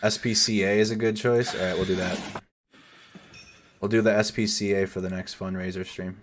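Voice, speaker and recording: A man's voice: on the low side, little pitch movement, normal speed, background noise. A man giving casual live commentary over war footage as a news streamer, swearing and stumbling over foreign names.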